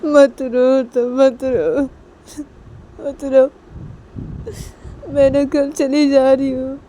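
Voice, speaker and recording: A woman's voice: high-pitched, steady tone, extremely slow, dark tone, slight background noise, pitched above the performer's own voice. A woman voicing a tearful, clingy young mother, pleading repeatedly in a sobbing, whiny delivery. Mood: sobbing, sad. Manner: repeats short pleas insistently.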